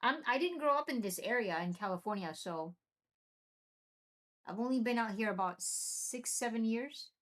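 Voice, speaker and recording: A young woman from Venezuela hosting a live stream, chatting excitedly and answering viewers' comments. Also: on the high side, normal speed. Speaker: livestream host.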